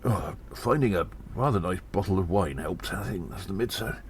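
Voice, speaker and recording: A man playing a weary gnome investigator in an audio drama, musing haltingly and deliberately with breathy sighs. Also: deep voice, expressive. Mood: tired, resolved. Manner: slow, deliberate, halting, pondering. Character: weary gnome investigator reporting on a spy conspiracy. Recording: faint background noise.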